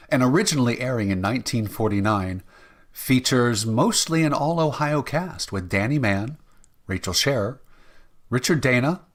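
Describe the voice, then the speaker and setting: A man's voice: medium pitch, a bit bright. A man working as an announcer, reading a scripted introduction in radio-show style.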